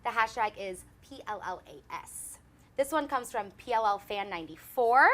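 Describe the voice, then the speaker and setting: A woman's voice: medium pitch, lively intonation, moderate pace, a bit bright. A young woman in her twenties hosting a casual fan chat show, chatty and giggly, with lots of "um" and "like". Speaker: host.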